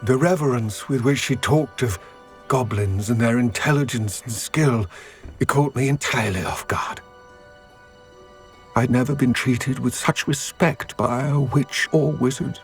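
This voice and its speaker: An actor voicing an earnest, remorseful goblin in a video game, speaking formally and gravely. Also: male voice, mid-range voice, faint music behind, lightly acted. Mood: wistful, grave. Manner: measured, formal, solemn. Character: earnest, remorseful male goblin, wary of his ruthless kin. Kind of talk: dramatic video game dialogue.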